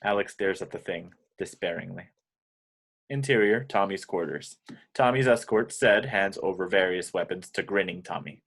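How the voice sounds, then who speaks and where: Low-voiced man reading screenplay directions. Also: moderate pace, a bit bright, husky. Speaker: stage-direction narrator. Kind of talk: scripted screenplay narration in an amateur table read.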